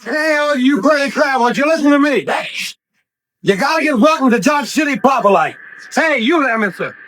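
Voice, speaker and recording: High, swooping young cowboy bully. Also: male voice, lively intonation, normal speed, fairly bright tone, shouting. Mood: taunting, rowdy. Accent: American Western. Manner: taunting, boastful Western drawl. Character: spoiled drunken young cowboy bully, boastful and childish. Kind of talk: 1950s radio Western acting. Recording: noisy vintage radio recording.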